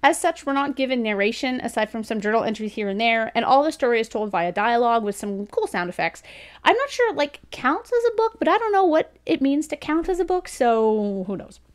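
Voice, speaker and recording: A woman's voice: a little high, very animated, fairly quick. A woman in her thirties giving a casual, chatty review as host, informal in manner and talking straight to the camera. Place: small indoor room lined with bookshelves.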